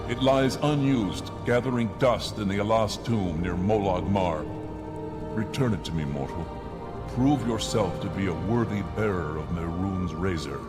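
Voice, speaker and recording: An imperious demon prince from a fantasy video game, deep and booming. He speaks slowly and grandly, in a low register, with game music playing clearly behind him. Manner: grand, slow, commanding. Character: menacing male daedric prince, imperious. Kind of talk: game voice acting. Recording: game audio under music.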